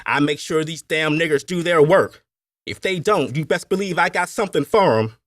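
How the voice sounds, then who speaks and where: A man's voice: on the high side, normal speed, fairly bright tone, dry recording, clean studio sound, voice pushed up, lightly acted. A man voicing a crude, deferential young plantation overseer with a folksy Appalachian accent, in an audiobook story. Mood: coarse, leering. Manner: folksy, coarse, ungrammatical speech.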